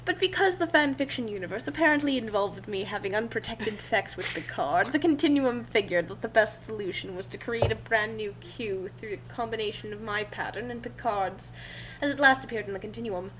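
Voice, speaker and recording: A woman's voice: medium pitch, a little fast, fairly bright tone. A woman reading a first-person story aloud in a theatrical, emphatic manner. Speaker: reader of fan fiction. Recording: noisy amateur recording with hum.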